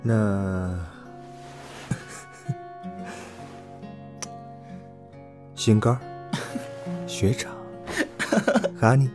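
A man playing a teasing, possessive, somewhat gruff young boyfriend in an audio drama. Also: lowish voice, extremely slow, pauses often, music in the background. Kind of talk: Chinese audio drama acting.

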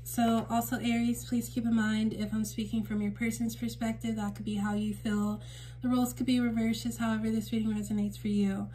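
A woman with a temporarily raspy voice giving a casual spiritual card reading to camera at home, gentle and reassuring with frequent fillers. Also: a little high, little pitch movement, a little fast, poor audio. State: raspy voice for a few days. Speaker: tarot reader and channel host. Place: indoors at a table in a home room.

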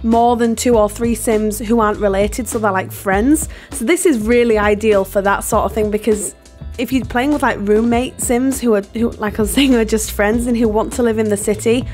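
A woman whose voice has gone hoarse talks in a casual, rambling voiceover and keeps apologising with little laughs. Her voice sits fairly high. Faint music plays underneath. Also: steady tone, moderate pace. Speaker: game builder and video host. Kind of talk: casual chatty voiceover over a speed build. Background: soft background music.

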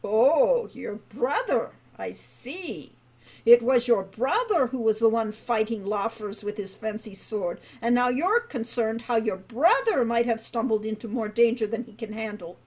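A woman's voice: fairly high pitch, expressive, unhurried, a little mellow, husky. Woman doing a peevish old dwarf. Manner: rambling, peevish, stammering. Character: peevish rambling old male dwarf guildmaster.

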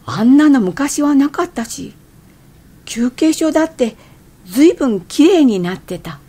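An actress playing an irritable middle-aged woman hiker who narrates her thoughts in expressive radio drama style. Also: high-pitched, unhurried. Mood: animated. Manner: expressive, theatrical inner monologue.

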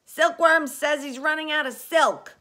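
A woman voicing an excitable centipede in a storybook, yelling urgently. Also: high-pitched, unhurried, pitched above the performer's own voice.

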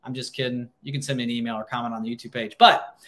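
A man's voice: mid-range voice, fairly even intonation, racing, fairly bright tone. A man in his thirties with an American accent, explaining things informally to camera in a conversational, emphatic way, repeating words for stress. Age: 30s. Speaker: financial planner hosting a livestream.

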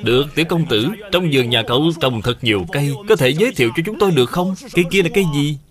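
A man's voice: a little high, expressive, fast talker, natural voice. A man plays a sly cartoon guest putting someone to the test. His voice is raised and swooping, full of showy courtesy, over music. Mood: showy, probing. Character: sly guest publicly testing a child. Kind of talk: dubbed educational cartoon acting.

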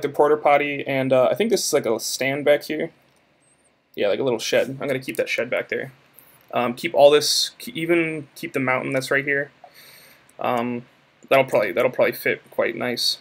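Hesitant man thinking aloud, casual. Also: mid-range voice. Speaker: visual effects artist presenting work in progress. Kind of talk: casual screen-recording walkthrough.

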